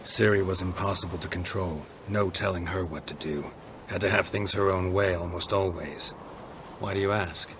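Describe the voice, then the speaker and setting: An actor playing a terse, gruff monster hunter in a video game cutscene, speaking in short, flat sentences. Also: male voice, deep voice, normal speed, noisy background, lightly acted.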